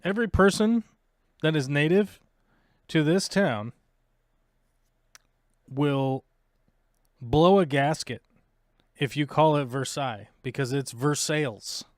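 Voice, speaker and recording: A man's voice: fairly high pitch, slow pace, long pauses, no room sound, clean studio sound. An American man hosting a live stream, chatting casually with lots of fillers and jokes. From United States. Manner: rambling, joking, many fillers. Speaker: live show host.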